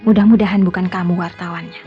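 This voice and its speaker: A woman's voice: on the low side, fairly even intonation, fast, natural voice. An actress playing a proud, guarded woman doctor with a sardonic streak in an Indonesian radio drama. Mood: cool, sarcastic. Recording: dull radio recording, music behind.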